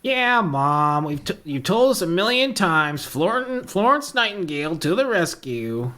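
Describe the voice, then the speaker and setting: A woman's voice: lowish voice, sing-song, measured pace, a bit bright. A woman reading the part of a sarcastic, exasperated young adult daughter at an amateur table read.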